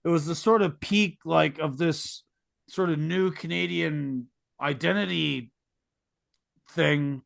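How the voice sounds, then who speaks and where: Gravelly middle-aged man. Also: fairly high pitch, slow, dry recording, studio quality. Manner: opinionated, rambling, sarcastic. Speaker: podcast host. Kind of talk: casual podcast conversation.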